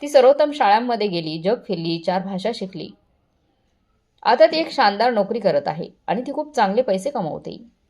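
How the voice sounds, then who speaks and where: A woman's voice: mid-range voice, big pitch swings, moderate pace, a little mellow. A woman voicing a boastful, condescending wealthy mother-in-law with showy, patronizing politeness, in a family story. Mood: smug.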